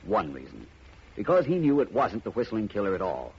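A muffled vintage radio recording holds a suave private detective. His very husky, lowish voice moves expressively and deliberately, full of wry self-assurance. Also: wildly swooping pitch, a little mellow, exaggerated voice. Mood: quietly triumphant. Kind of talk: 1950s radio detective drama acting.

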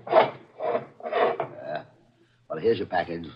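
Very deep, husky, troubled businessman. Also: very slow, heavily acted. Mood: puzzled. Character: suspicious, troubled businessman, unsuspecting male victim. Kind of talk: 1940s radio mystery acting. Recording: muffled old radio broadcast.

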